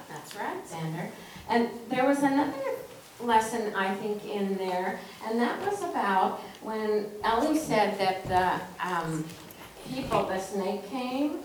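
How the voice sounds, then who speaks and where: A woman leading a children's message at church, talking informally in simple teacherly phrases and asking questions. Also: medium pitch. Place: large echoey indoor church. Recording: roomy, distant church recording with faint noise.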